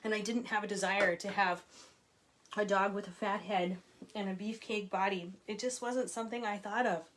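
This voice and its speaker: A woman's voice: medium pitch, fairly even intonation, moderate pace, slight background noise. A woman in her forties, an author hosting a casual live chat from home, reading out viewer comments and laughing often. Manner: chatty and warm, reads viewer comments aloud, laughs often.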